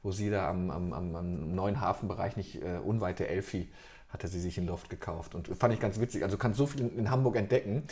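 A man's voice: sits low, brisk, a little mellow. A man interviewing a young singer, chatty and rambling, restarting his questions often. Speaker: interviewer, local journalist. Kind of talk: casual interview.

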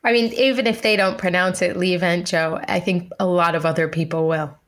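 A woman's voice: on the low side, steady tone, fairly quick. A woman co-hosting a podcast in a conversational style. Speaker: podcast co-host.

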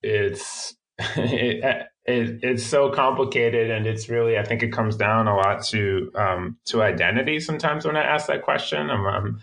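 A man being interviewed as a filmmaker, speaking in a thoughtful, halting way with frequent restarts. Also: mid-range voice, creaky, a bit bright. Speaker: filmmaker guest. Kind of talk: interview conversation.

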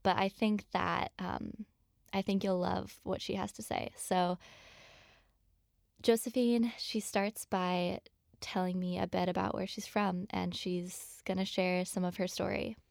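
A woman's voice: on the low side, little pitch movement, unhurried, creaky, darkish tone, no room sound, studio quality. A woman hosting a podcast, introducing her guest in a loose, chatty way with occasional ums. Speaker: podcast host.

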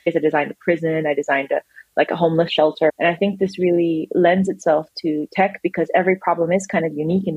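Fast-talking woman, husky and low. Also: dry recording, dull audio. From grew up in England, Australia and the UAE. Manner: quick, animated storytelling with restarts. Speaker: guest, design manager. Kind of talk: conversational interview.

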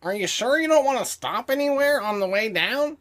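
A woman's voice: a little high, animated, moderate pace, close to an everyday voice. A woman voicing a meek, polite extraterrestrial with a salesy streak in a sci-fi comedy radio drama. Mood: friendly, salesy. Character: meek, polite extraterrestrial shop-savvy hustler.

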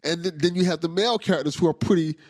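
A man hosting a casual podcast interview, enthusiastic and relaxed, often repeating words as he thinks. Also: on the high side, rapid-fire, dry recording. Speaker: podcast host.

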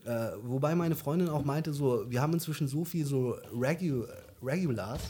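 A man's voice: mid-range voice, moderate pace, warm tone, husky. A young man co-hosting a casual podcast, rambling with many fillers and restarts. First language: German. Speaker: podcast co-host. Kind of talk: casual chat.

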